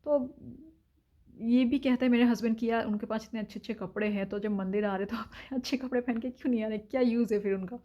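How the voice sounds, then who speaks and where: A woman's voice: fairly high pitch, steady tone, fast, warm tone, highs cut off. A woman from India talking frankly in a casual vlog, with lots of filler sounds and opinions. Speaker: vlogger. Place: home in Denmark.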